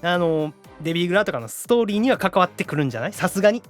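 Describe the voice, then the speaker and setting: A man with a Kansai Japanese accent hosting a podcast, bantering playfully with animated comic retorts between segment announcements. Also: sits high, rapid-fire, faint music behind. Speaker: podcast host, voice actor.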